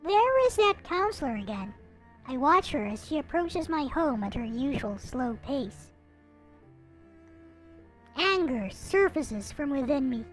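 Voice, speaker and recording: A woman reading a visual novel aloud in an expressive, theatrical way, with some casual commentary. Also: high voice, measured pace, pauses often, poor audio. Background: background music.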